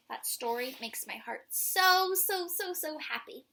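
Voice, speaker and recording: A woman with a breathy voice reads aloud and explains simply to young children in an expressive, emphatic way. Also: high voice, slight background noise. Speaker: children's story reader and host.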